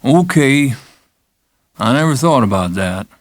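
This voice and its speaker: A man's voice: medium pitch, animated, measured pace, natural voice. A man voicing a brash, brawling American gambler with folksy, blunt, wheedling speech in an audiobook. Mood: conceding. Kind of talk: audiobook character acting.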